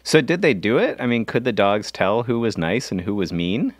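A man's voice: fairly low pitch, lively intonation, moderate pace, no echo, clean studio sound. A man co-hosting a scripted children's podcast, inquisitive and jokey in the way he talks. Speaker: podcast co-host. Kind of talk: scripted kids' podcast banter. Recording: guitar music behind the voice.

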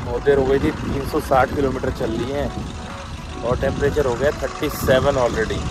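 A man's voice: medium pitch, fairly even intonation, moderate pace, poor audio. A man talking casually and informally as a travel vlogger on a road trip. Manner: chatty, informal, colloquial. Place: in a car and at roadside stops. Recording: loud music under speech, vehicle noise. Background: loud music, car sounds.

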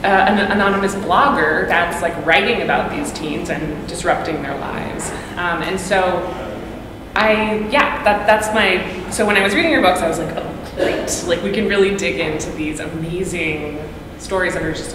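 Brief: low, chatty woman moderating a panel on a table mic, roomy hall sound. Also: normal speed. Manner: chatty, lots of "like" and "um", acts out quoted exchanges. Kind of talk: casual panel talk. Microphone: table microphone. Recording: echoey hall with background noise.

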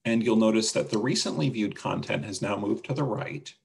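A man presenting a casual software walkthrough on a video call, explaining things step by step. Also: fairly low pitch, lively intonation, fairly quick, vocal fry. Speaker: webinar presenter demonstrating a website.

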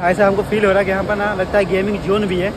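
A man's voice: high voice, completely flat delivery, very fast. A young man in his twenties vlogs casually, chatting and laughing as he walks through a shopping mall, filming himself on a phone at arm's length. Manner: chatty, laughing, talks straight to the phone camera. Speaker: vlogger. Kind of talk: casual walking vlog. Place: large indoor shopping mall. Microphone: phone camera held at arm's length. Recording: noisy crowded mall on a phone. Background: busy crowd chatter and loud mall noise.

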